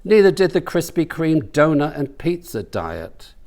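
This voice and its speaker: Slow, expressive man reading a story. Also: on the high side. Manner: deliberate, dramatic pauses. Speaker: author reading from own novel. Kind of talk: comic audiobook-style narration.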